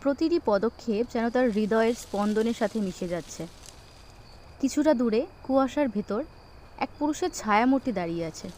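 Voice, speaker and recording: A woman's voice: a little high, lively intonation, fairly quick, darkish tone, slight background noise. A woman narrating a scripted suspense story in a dramatic way.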